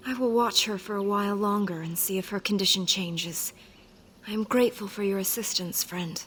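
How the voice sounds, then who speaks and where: An actress playing a gentle, devoted elven herder in a fantasy game, speaking in a measured, earnest, formal way. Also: female voice, medium pitch, a little hiss or hum, close to an everyday voice. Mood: grateful. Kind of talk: fantasy game dialogue acting.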